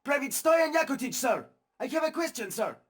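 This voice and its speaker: An actor playing a young, nervous resistance private who talks in a stiff, formal way in a video game cutscene. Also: male voice, extremely high pitch, very animated, moderate pace, a bit bright, heavily acted. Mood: earnest. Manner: stiff, formal, eager to please. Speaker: soldier.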